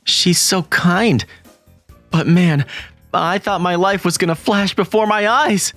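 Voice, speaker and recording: A man's voice: sits high, takes it slowly, fairly bright tone, soft background music. A man narrating a manga story in first person, getting flustered and jumpy. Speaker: narrator and lead voice in a manga dub.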